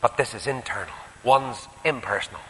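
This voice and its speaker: A man with a Northern Irish accent preaching a sermon, putting rhetorical questions and moving between emphatic rises and quieter asides. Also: medium pitch, expressive, normal speed, slight background noise. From Northern Ireland. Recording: echoey hall with long reverb.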